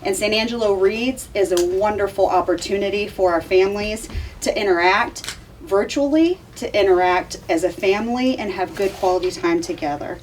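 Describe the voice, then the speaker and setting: A woman gives a friendly introduction for a school event, her voice at an average pitch. She sounds a little far from the microphone, with faint background noise around her, and she laughs easily. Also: normal speed. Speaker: school district military liaison. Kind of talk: welcoming introduction.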